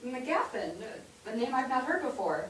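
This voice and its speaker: A woman's voice: sits high, sing-song, moderate pace, a bit bright. A woman playing a proper, deferential young wife in a staged play reading, speaking carefully and courteously. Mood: polite, tentative. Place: theatre stage, recorded from afar. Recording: distant noisy microphone in echoey theatre.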